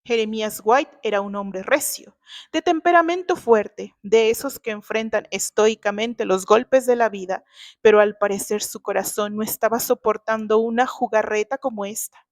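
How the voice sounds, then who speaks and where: A woman with a Mexican accent narrating a scripted story in an emotive, deliberate, dramatic manner. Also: medium pitch, no echo.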